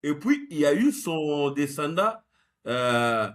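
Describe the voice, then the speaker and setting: Lively, higher-pitched man explaining. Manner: measured and explanatory, mixing French with Lingala. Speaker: guest researcher. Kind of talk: analytical interview answer.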